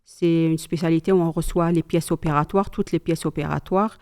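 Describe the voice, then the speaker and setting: A middle-aged woman from Algeria, a mother telling her family's story in a calm, thoughtful casual chat with pious asides. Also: low-pitched, brisk, clean tone. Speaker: mother sharing memories.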